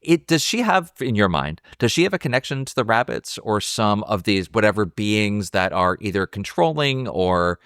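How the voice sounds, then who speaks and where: A man's voice: on the low side, very animated, normal speed, hardly any pauses, a bit bright, clean tone, no echo, studio quality. A man co-hosting a podcast, discussing a film casually in a thoughtful, tentative, self-interrupting way. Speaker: podcast co-host.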